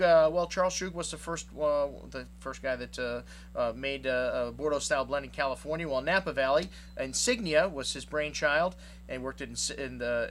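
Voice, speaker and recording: Casual wine shop owner, a man with a slightly high voice, rambling tasting notes over a faint hum. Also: fairly bright tone. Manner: chatty, rambling with many fillers.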